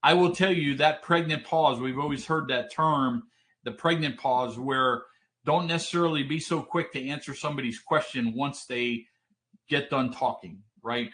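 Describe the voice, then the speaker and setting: A man with a deep, commanding voice teaching a webinar, explaining points steadily and often checking in with a quick "right?". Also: a bit bright. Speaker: trainer. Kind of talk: webinar lecture.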